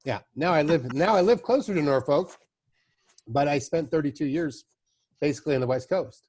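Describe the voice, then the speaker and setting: A man's voice: on the high side, animated, moderate pace, darkish tone. Chatty middle-aged man spinning stories. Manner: loose storytelling, chatty asides. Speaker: podcast host. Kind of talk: casual conversation.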